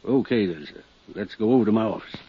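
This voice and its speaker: A husky, shrewd radio lawyer. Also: male voice, on the low side, no room sound. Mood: measured, cautious. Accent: American. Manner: deliberate, probing, courteous questioning. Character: shrewd, steady male lawyer and notary, cautious. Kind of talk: 1950s radio drama acting. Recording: muffled, dry 1950s radio recording.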